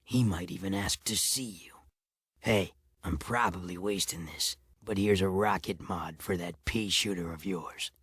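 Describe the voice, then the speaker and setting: A sardonic male resistance commander from a video game. His voice is deep and husky, and he gives orders tersely in a close, dry recording. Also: unhurried, fairly bright tone, no room sound, exaggerated voice. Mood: grim. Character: gruff, sardonic male underground resistance leader. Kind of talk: video game cutscene acting.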